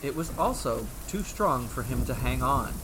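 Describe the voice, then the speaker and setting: A man narrating a scripted myth as a storyteller, with measured, theatrical and deliberate phrasing. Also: mid-range voice, expressive, noisy background.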